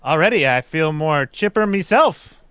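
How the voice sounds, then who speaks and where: A man's voice: fairly high pitch, animated, moderate pace, fairly bright tone, clear, resonant tone, no echo. A man playing an earnest, grand intermission announcer in a 1940s-style radio play. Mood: eager.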